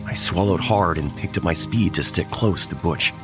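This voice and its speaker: Male narrator, very low, tense first-person horror read, music bed behind. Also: normal speed. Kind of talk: horror audio drama narration.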